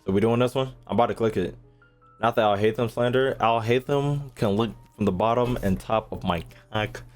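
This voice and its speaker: A man's voice: fairly low pitch, brisk, darkish tone. Profane, slangy man streaming with music behind. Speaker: game streamer. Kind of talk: casual livestream commentary, chatting to viewers.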